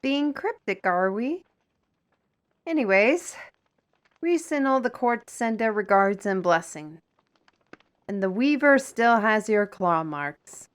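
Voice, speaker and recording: A woman's voice: mid-range voice, lively intonation, takes it slowly, pauses often, smooth. A woman playing a wry, businesslike colleague in a fantasy audio drama, speaking in a dry, explanatory manner.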